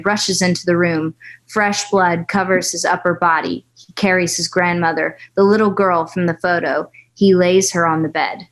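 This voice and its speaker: A woman's voice: lowish voice, poor audio. A woman reading stage directions steadily at a table read.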